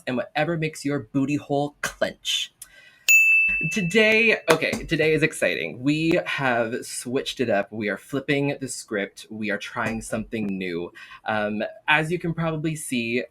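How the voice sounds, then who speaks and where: A man's voice: medium pitch, fairly bright tone. A man hosting a talk show with playful banter, speaking in a campy, sassy, theatrical way.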